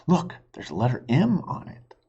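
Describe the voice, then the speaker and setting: A man's voice: fairly high pitch, huge pitch swings, normal speed, mellow, slightly rough, voice pushed up, heavily acted. A man reading aloud, voicing a thoughtful, cautious young boy who keeps asking puzzled questions, on a dull lo-fi recording.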